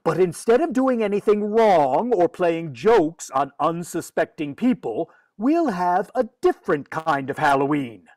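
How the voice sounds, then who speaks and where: Exasperated, fussy father, acted by a man, slow and swooping delivery, radio comedy. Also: sits high, sing-song, a little mellow, no echo. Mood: patient, coaxing. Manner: fussy, stammering when flustered. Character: flustered, exasperated father of a mischievous girl. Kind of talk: old-time radio comedy acting.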